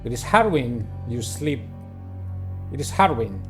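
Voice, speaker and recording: A man doing a playthrough of a visual novel, reading it aloud and commenting in a deliberate, halting way. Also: medium pitch, very animated, music in the background. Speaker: gamer playing and narrating a visual novel. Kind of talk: dramatic read-aloud with casual playthrough commentary.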